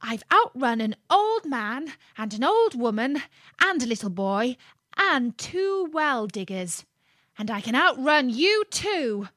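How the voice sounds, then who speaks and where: A woman's voice: sits high, sing-song, slow pace, fairly bright tone, no echo, studio quality, pitched above the performer's own voice, heavily acted. A woman voicing a cheeky, boastful little gingerbread man in a storybook tale.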